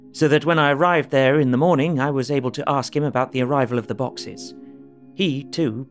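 A polite Victorian gentleman, played by a man in an audio drama, narrating his journal in a medium-pitched voice. He is formal and precise, and music plays faintly behind him. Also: lightly acted. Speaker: actor reading a journal.

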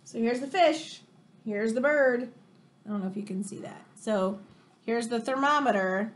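An American woman in her thirties reading a picture book aloud like a teacher to children, expressive and exclamatory, with chatty questioning asides. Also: fairly high pitch, normal speed. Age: 30s. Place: room in a home, indoors.

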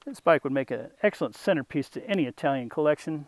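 A man presenting a restored vintage motorcycle for sale, talking in an unhurried way with a touch of humor while the camera circles the bike outdoors. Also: on the high side, lively intonation, no room sound. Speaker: classic motorcycle dealer. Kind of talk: off-camera sales walkthrough.

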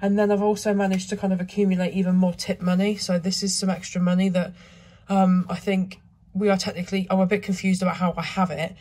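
A woman with a croaky voice from a cold, chatting casually and rambling through a travel vlog with frequent ums. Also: medium pitch, little pitch movement, fast, hardly any pauses, warm tone. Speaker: travel vlogger.

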